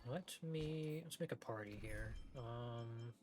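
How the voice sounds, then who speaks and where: A man's voice: mid-range voice, slow. A man giving casual, offhand playthrough commentary as a game streamer, thinking aloud and swearing now and then. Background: game music.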